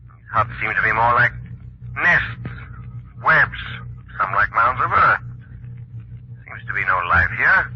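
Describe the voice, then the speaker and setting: An actor playing a resolute, suave amateur detective in a 1940s radio drama, with a clipped, earnest, dramatic delivery. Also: male voice, medium pitch, lively intonation, slow pace, long pauses, breathy edge, full character voice. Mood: tense, hushed. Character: resolute, suave amateur detective, grown man, grave and urgent. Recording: muffled, hissy 1940s radio recording.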